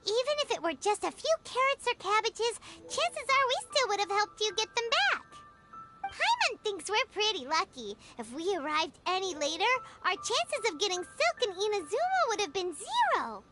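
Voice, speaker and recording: A childlike little fairy sidekick from a video game, squeaky and extremely high. She is chirpy and cheeky, bouncing all over in pitch. Loud music plays behind her. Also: very animated, normal speed. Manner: perky, blunt, refers to herself in third person.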